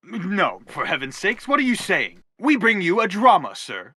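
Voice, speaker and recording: An eloquent stranger in a radio drama, formal and philosophical. His voice is high and forceful, with animated, insistent phrasing that stays earnest. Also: normal speed, no room sound, clean studio sound, exaggerated voice. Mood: earnest, pleading. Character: earnest, eloquent male stranger seeking an author. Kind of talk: theatrical radio play acting.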